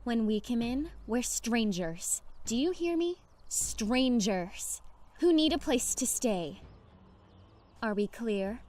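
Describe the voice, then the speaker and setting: A woman's voice: fairly high pitch, expressive, a little mellow. A woman playing a determined but fragile young survivor leader in a dramatic audio drama. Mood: commanding. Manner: insistent, halting when shaken.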